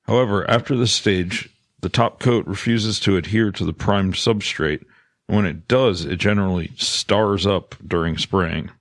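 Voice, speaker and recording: A man with a fairly low voice works as a podcast co-host. He reads prepared announcements and listener questions, recorded close and dry with no room sound. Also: moderate pace, dry recording.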